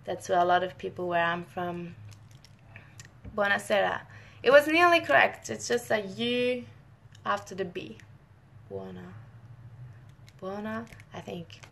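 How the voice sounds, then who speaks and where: A woman's voice: medium pitch, measured pace, creaky, long pauses, a bit bright. A young woman from northern Italy, a scientist who speaks Italian natively, chatting casually with viewers in a live Q&A and often restarting her sentences. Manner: friendly, chatty, many restarts and short laughs. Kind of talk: casual live-stream chat.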